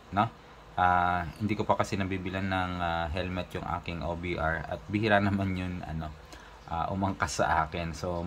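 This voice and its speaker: A Filipino man hosting a casual unboxing vlog, mixing Tagalog and English in a halting, hesitant way. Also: low-pitched, a bit bright, slight background noise. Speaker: motovlogger host.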